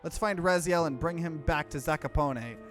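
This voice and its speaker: A man's voice: fairly high pitch, normal speed, fairly bright tone, soft background music, close to an everyday voice. A man voicing a decisive, reassuring young woman who leads a team in a visual novel, firm and matter-of-fact. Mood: commanding. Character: steady, decisive female team leader, reassuring.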